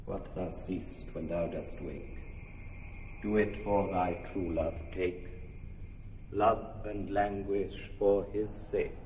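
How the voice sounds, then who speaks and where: A man's voice: mid-range voice, long reverb tail, lo-fi sound, slight background noise, natural voice. An actor playing a sly fairy king chanting a spell in a classic radio drama. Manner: slow, incantatory chanting.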